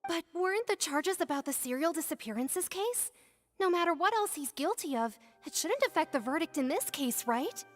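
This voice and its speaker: High, wondering young onlooker. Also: female voice, animated, normal speed. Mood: puzzled. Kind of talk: video game voice acting. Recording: long hall reverb, faint music.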